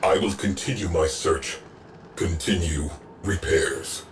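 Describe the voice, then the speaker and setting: Stern military ship AI for a game: clipped, solemn, deep, in an echoey distant space. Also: male voice, takes it slowly, background noise. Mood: grave, solemn. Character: stern, battle-worn male ship artificial intelligence, terse and military. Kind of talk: video game character acting. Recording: echoey, distant, loud music behind. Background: loud game music.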